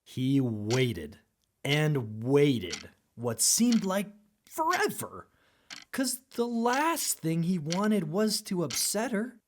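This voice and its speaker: Female storybook narrator, very low register, lively swooping intonation, unhurried pace for rhyming read-aloud. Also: slight background noise.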